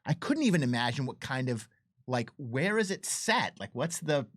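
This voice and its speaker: A man's voice: mid-range voice, big pitch swings, moderate pace, dry recording, clean studio sound. A man giving enthusiastic, conversational developer commentary, eagerly breaking off and restarting his thoughts.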